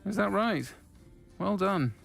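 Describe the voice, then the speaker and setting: A woman's voice: mid-range voice, animated, takes it slowly, creaky, faint music behind, exaggerated voice. A woman playing a friendly, assured tavern keeper in a video game, with an easygoing manner. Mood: friendly, concerned. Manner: welcoming, easygoing.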